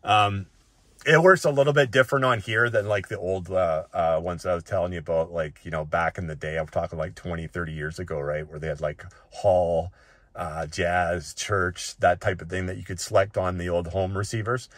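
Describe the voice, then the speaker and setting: Low-voiced man giving a casual tech walk-through. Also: steady tone, moderate pace, fairly bright tone, dry recording. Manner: conversational, often checking in with "right?". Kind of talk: casual, unscripted tutorial talk.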